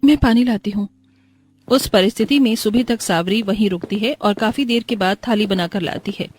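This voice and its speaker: A woman's voice: mid-range voice, fairly quick, a little hiss or hum. A woman narrating a moral bedtime story as a scripted voice-over.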